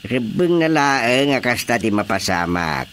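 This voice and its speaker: A man's voice: mid-range voice, a little slow. A man acting an authoritative, orderly village chairman in an Ilocano radio drama, emphatic and official, with an Ilocano accent. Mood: stern, official. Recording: steady background noise.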